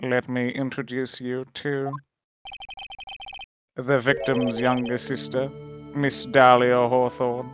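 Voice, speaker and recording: A man playing a smug, composed young prosecutor, pompous and measured, in a live dub of a courtroom game. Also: medium pitch, monotone, music in the background, lightly acted.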